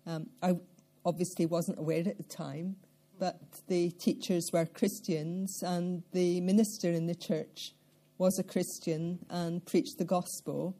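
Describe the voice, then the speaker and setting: An older woman from central Scotland with a Scottish accent, recalling her life in a measured, reflective way during an interview. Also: on the low side, level tone. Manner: measured, reflective, with occasional soft laughs and throat clearing.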